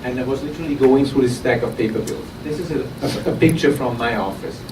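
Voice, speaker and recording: A man with an Austrian accent pitching his startup live, chatty and loose, tripping over and repeating words as he goes. He sounds set back from the mic in a roomy, echoing space. A steady hiss of air and room noise sits under his voice. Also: quick pace. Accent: Austrian German. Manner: conversational with frequent restarts and repeated words. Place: conference room at an innovation event. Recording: roomy, distant, noisy audience recording.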